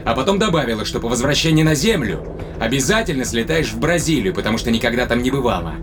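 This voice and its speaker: An actor playing a tender but probing spaceship captain in a Russian audio drama, speaking conversationally with deliberate pauses. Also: male voice, mid-range voice, lively intonation, noisy background, natural voice. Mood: probing, grave. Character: male spaceship captain, long-lived, tenderly probing his companion.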